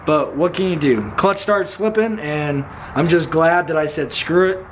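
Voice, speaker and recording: A man chatting casually in a car vlog, laid-back and slangy, calling people bro and dude, and he is going hoarse. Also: on the high side, measured pace. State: hoarse, losing his voice. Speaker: car build vlogger. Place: in a car, driving. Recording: dull lo-fi sound, loud road noise. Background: road and engine noise.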